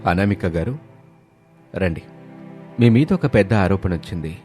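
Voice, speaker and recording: A man's voice: deep voice, animated, measured pace, darkish tone, faint music behind, pitched below the performer's own voice. A man voicing a grave, stern office auditor, formal and accusing, in a moral story. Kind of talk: animated moral story dialogue.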